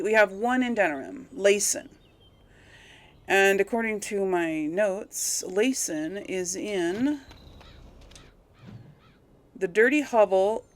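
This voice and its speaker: A woman hosting a casual let's play, chattily thinking aloud as she plays. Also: mid-range voice. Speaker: gaming video host.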